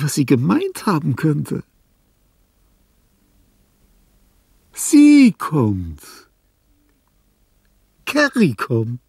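An actor playing a sick, weary old artist near death, morbid and fussy, in a theatrical, brooding radio drama monologue. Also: male voice, mid-range voice, darkish tone, no echo, exaggerated voice. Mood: agitated, elated. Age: older. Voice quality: husky, creaky. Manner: theatrical, brooding, slips into whispering.

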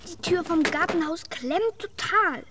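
A woman's voice: extremely high pitch, animated, measured pace, noisy background. A woman acting a young girl in a German radio drama, a bossy, protective big sister. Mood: matter-of-fact, caring.

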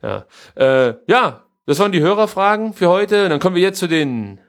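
A man's voice: high-pitched, big pitch swings, normal speed. A man co-hosting a podcast, chatting casually and giving his opinions in colloquial language. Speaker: podcast co-host. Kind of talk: casual chat about pro wrestling.

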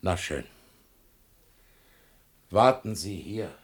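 An actor in a radio play voicing a talkative, evasive shopkeeper with a patronizing, emphatic manner. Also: male voice, low-pitched, expressive, drawn-out words, husky, full character voice. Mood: dismissive. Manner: chatty, patronizing, theatrically emphatic. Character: talkative, evasive male shopkeeper selling pottery paints. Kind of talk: German radio play acting.

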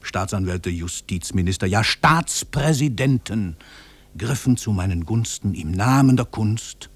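An actor playing an arrogant, cold-blooded famous writer in a radio play, speaking in a pompous, menacing, grandiloquent way. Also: male voice, lowish voice, animated, unhurried, husky. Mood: cold contempt. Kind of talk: 1950s German radio play acting.

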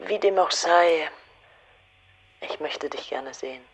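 An actress playing a cool, self-possessed young society woman in a radio play, with a husky, breathy voice and an understated manner. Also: low voice, slow pace, full character voice, rough recording. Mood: cool, inviting. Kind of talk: radio drama acting.

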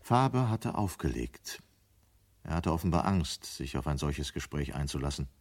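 A man narrating a German radio drama as a grave, reflective storyteller, measured and dramatic. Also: rumbling low, expressive, full character voice.

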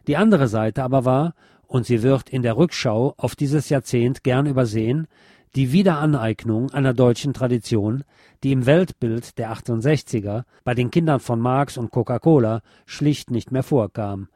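A man reading scripted documentary narration in a measured, essayistic way. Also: medium pitch, mellow, no echo, clean studio sound.

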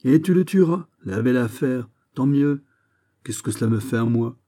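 A man playing a gruff, middle-aged musketeer captain in an audiobook, blustering and mocking, with fatherly sarcasm. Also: lowish voice, normal speed, mellow, lightly acted. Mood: sardonic scolding. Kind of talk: dramatised audiobook of a historical novel.

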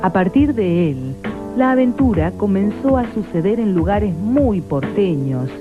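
A woman's voice: medium pitch, very animated, a little slow. A woman reads scripted documentary narration. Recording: thin phone-like sound, loud music behind. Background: music.

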